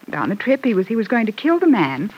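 An actress playing a frightened, guilt-stricken wife in a 1940s radio soap opera, speaking in an earnest, dramatic way. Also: female voice, mid-range voice, sing-song, fast talker, slightly rough, highs cut off. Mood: anxious, explaining. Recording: old radio broadcast recording.

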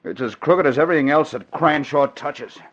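A man's voice: fairly high pitch, animated, a little fast, a bit bright. An actor playing a bitter, despairing man ruined by gambling, in 1940s radio drama style. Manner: defeated, embittered, emphatic. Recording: dull old radio recording.

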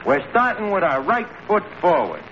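An actor playing a breezy, mock-learned New York tavern manager with mangled grammar, in 1940s radio comedy style. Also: male voice, high-pitched, wildly swooping pitch, moderate pace, creaky, full character voice. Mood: brisk, scheming. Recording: noisy, muffled old radio broadcast, audience.

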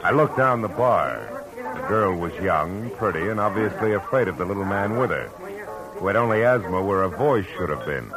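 A man's voice: sits low, lively intonation, normal speed, music in the background, poor audio. A man playing a tough, world-weary private detective, clipped and sardonic, in a radio noir drama. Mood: weary, wry. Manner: hard-boiled, clipped, sardonic. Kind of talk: 1950s radio noir narration and acting.

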